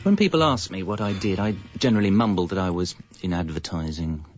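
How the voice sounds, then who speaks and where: Radio comedy lead: man as idle, evasive composer narrator, deep-ish and deadpan, on a dull-sounding recording. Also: quick pace, faint music behind, close to an everyday voice. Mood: wry narration. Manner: dry, self-justifying. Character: lazy, evasive slacker jingle composer telling his story. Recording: slightly muffled radio recording.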